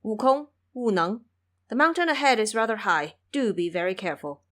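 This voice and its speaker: A woman's voice: medium pitch, animated, a bit bright, natural voice. A woman as a humble, pious monk master. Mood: cautious. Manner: measured, formal, earnest.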